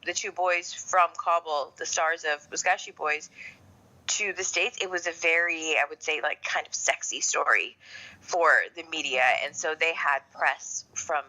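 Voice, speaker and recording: A middle-aged woman from Canada speaks as an interview guest. Her voice sits a little low and has a bright, forceful edge. She tells things in a measured, reflective, conversational manner. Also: fairly even intonation, poor audio. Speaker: podcast guest.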